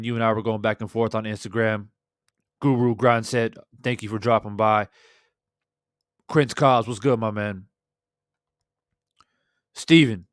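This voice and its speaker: A hoarse man in his thirties talks into a desk mic in a small home room, laid-back and chatty as he greets his viewers. His voice stays smooth and resonant under the strain, with a dry, close sound and no room echo. He swears casually as he talks. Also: medium pitch. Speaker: boxing livestream host. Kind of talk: casual livestream chat. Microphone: studio desk mic with headphones.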